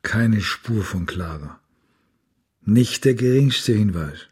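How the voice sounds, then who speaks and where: A desperate father in a radio crime drama. His voice is very rough and husky, and his delivery swings widely in pitch, brooding and intense as he hunts for his missing child. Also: animated, takes it slowly, darkish tone, full character voice. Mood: bitter, weary. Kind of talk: German radio drama acting.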